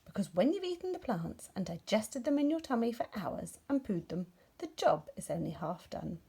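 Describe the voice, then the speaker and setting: Woman as grumpy male rabbit, proud, indignant, blustering, swooping pitch, children's storybook. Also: sing-song, moderate pace, gravelly, mellow, slightly rough, heavily acted. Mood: explaining.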